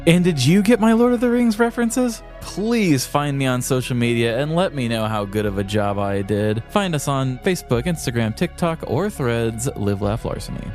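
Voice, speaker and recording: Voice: medium pitch, lively intonation, normal speed, hardly any pauses, a little mellow, faint music behind. A podcast co-host chatting casually in comedic banter, with a wry, joking manner.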